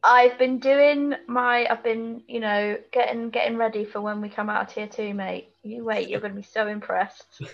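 A woman's voice: a little high, steady tone, moderate pace, creaky, hardly any pauses. A British woman chatting casually as a podcast co-host, on a slightly lo-fi recording. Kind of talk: casual chat.

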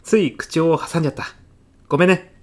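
A man's voice: fairly high pitch, fairly even intonation, brisk, natural voice. A man playing a mild, self-effacing classmate in his thirties with a sly salesman's streak, speaking politely in a comedy radio drama. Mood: apologetic, concerned. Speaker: actor in an audio comedy sketch.

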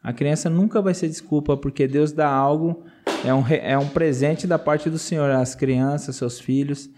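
Missionary man, mellow and earnest, laughs easily, repeats himself. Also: fairly high pitch, fairly even intonation, moderate pace, soft background music. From Brazil. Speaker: missionary guest. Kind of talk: casual interview testimony.

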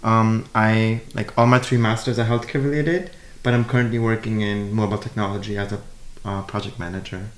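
A man's voice: fairly low pitch, fairly even intonation, a little fast, roomy sound. A man in his thirties who grew up in Germany, a chatty podcast guest who says "like" a lot. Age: 30s. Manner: chatty, uses "like" often. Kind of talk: casual interview.

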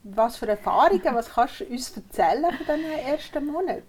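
A woman's voice: high-pitched, expressive, a little fast, slight background noise. A woman from Switzerland, hosting and asking questions in a casual podcast chat. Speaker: podcast host.

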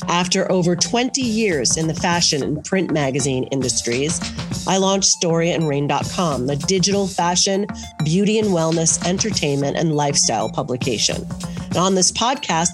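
A middle-aged woman hosting a podcast, reading a polished scripted introduction and then asking interview questions. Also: low-pitched. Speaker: podcast host and magazine editor.

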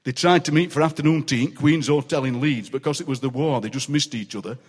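An older man with a Yorkshire accent, a guest poet telling anecdotes on a stage with quick comic impressions and frequent laughter. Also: on the high side, husky. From South Yorkshire, England. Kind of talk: live stage chat, storytelling. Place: large city hall on stage. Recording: long reverb of a large hall.

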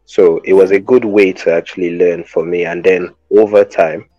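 Deep-voiced Nigerian man, dull dry sound. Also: moderate pace, no echo. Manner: relaxed storytelling, jokey. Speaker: podcast co-host. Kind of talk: casual podcast chat.

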